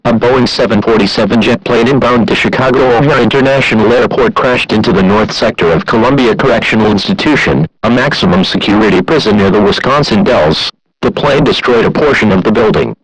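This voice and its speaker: A man's voice: mid-range voice, rough recording. A man reading a scripted emergency alert in a formal, impersonal manner.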